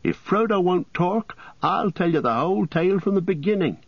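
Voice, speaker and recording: A man's voice: high-pitched, lively intonation, normal speed, gravelly, breathy edge, no room sound, highs cut off, voice pushed up, exaggerated voice. A man voicing a cheerful, talkative young male hobbit in a storybook reading. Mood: telling.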